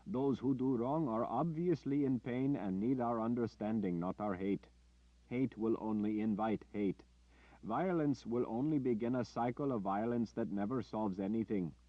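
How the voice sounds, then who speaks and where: A man voicing a middle-aged male villager who lectures calmly in a preachy way. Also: medium pitch, normal speed, no echo, pitched above the performer's own voice, lightly acted. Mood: moralizing. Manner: calm, preachy. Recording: dull dry lo-fi audio.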